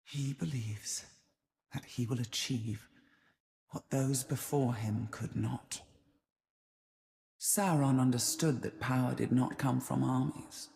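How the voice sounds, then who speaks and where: An ancient, cold spider seer from a fantasy video game. Her voice is dark, velvety and hushed, often slipping toward a breathy whisper. She takes her time over every phrase, brooding and portentous, with a seductive menace. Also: a bass voice, a little hiss or hum, heavily acted. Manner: slow, brooding, portentous, measured. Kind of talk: dramatic scripted game monologue.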